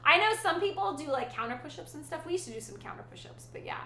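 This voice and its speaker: Female streamer, bright higher voice, rambling casual chatter, echoey room. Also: lively intonation, quick pace, a little hiss or hum. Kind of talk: casual chat with live chat.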